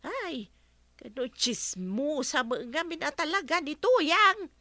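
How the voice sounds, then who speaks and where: Inquisitive villager, high slow voice, radio comedy drama. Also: female voice, lively intonation, sharp tone, no echo, exaggerated voice.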